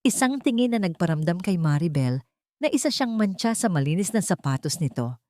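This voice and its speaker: A woman's voice: on the low side, very animated, a little fast, dark tone, no room sound, studio quality. A woman with a Filipino accent reading a scripted dramatic audiobook story as narrator.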